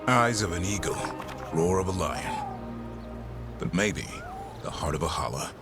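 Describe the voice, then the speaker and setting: An actor playing a restless, gruff but fond elf warrior who handles a griffin, in video game dialogue. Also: male voice, low-pitched, expressive, a little slow. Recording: music under dialogue.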